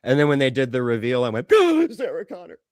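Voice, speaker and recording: A man's voice: mid-range voice, wildly swooping pitch, fast, dry recording, studio quality. A man co-hosting a podcast with casual, opinionated banter, blunt and free with swearing, acting out his own reactions as quotes. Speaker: podcast co-host.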